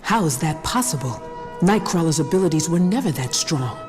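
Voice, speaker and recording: A man acting as a concerned male superhero in a video game. His voice is fairly high and earnest, with music behind. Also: animated, brisk, lightly acted. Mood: worried.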